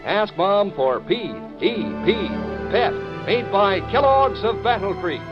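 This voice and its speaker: A male announcer from 1940s radio pitches to children with folksy eagerness. His delivery swings widely in pitch. The old recording is dull, with faint music underneath. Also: sing-song, takes it slowly. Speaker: radio announcer reading sponsor ad.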